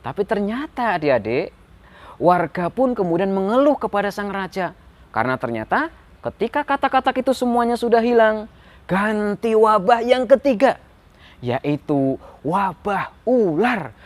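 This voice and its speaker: A man's voice: high-pitched, very animated, normal speed. A man telling a fairy tale to children, dramatic and emphatic, addressing his young listeners. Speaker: children's storyteller.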